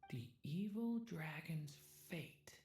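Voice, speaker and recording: A woman playing a gentle young dragon boy in an anime game, earnest, hesitant and polite. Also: low-pitched, lively intonation, slow. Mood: worried. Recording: roomy echo, faint music.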